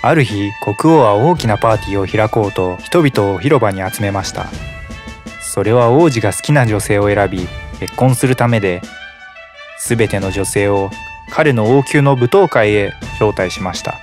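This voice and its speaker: A man narrating a fairy tale in a calm, even storytelling manner. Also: fairly low pitch, moderate pace. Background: music.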